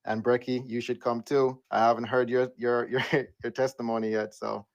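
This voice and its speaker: A man moderating a live audio chat room, playful and quick to laugh. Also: mid-range voice, level tone, gravelly, muffled recording. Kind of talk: casual chat.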